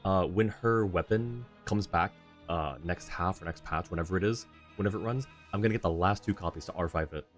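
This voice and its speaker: A man's voice: deep voice, fairly quick, hardly any pauses. A man streaming a game live, casually thinking aloud as he reads what is on screen and in chat. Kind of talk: casual live gaming commentary. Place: indoor live stream setup. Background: faint game music.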